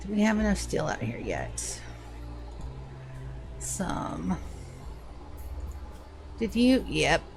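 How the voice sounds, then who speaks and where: A woman's voice: fairly low pitch, sing-song, very slow, vocal fry, pauses often, a little hiss or hum. A woman with a Georgia accent and a slight lisp, chatting casually to viewers while streaming a game. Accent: Southern US, Georgia. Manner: chatty, greets viewers by name. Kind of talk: casual live gameplay commentary.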